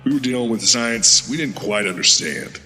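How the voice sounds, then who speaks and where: An actor playing a veteran male super-soldier who calmly recounts his past in an audio drama. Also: normal speed, faint music behind, rough recording. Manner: steady recounting, low-key storytelling.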